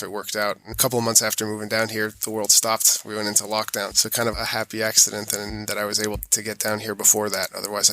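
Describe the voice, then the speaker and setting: American male interview guest, low crisp-edged bright voice, informal, dry close sound. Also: dry recording, poor audio. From born in New York, raised mostly in Europe. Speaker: podcast guest, sustainability auditor. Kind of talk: conversational interview answers.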